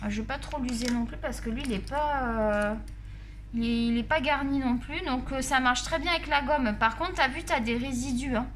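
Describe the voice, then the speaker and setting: A high, bright-voiced woman with a Marseille accent talks in a chatty, familiar way, joking with her viewers as she colors. It is a relaxed home vlog recorded at her desk. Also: moderate pace, a little hiss or hum. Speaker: art vlogger reviewing colored pencils. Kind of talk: casual chat while coloring on camera. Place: at a desk at home, face off camera.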